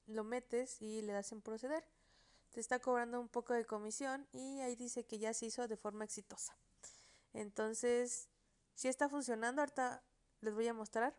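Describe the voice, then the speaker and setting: A woman's voice: fairly high pitch, a little mellow, clear, resonant tone. A woman with a Mexican accent giving a casual, plain, step-by-step narration for an app tutorial video.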